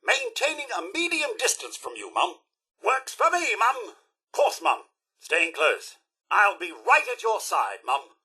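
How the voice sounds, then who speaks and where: A man's voice: sits high, huge pitch swings, a little slow, sharp tone, husky, exaggerated voice. A man voicing a fussy, devoted robot butler in a video game, with a British accent and a formal, theatrical, effusively polite delivery. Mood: polite, eager. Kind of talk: video game character voice acting.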